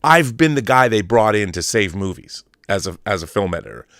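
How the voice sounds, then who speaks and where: A man's voice: lowish voice, very animated, a little fast, a bit bright, no echo, studio quality. An American man in his fifties talking casually and with opinions as a show host, emphatic and nostalgic, repeating phrases for stress. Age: 50s. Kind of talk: opinionated casual monologue on pop culture.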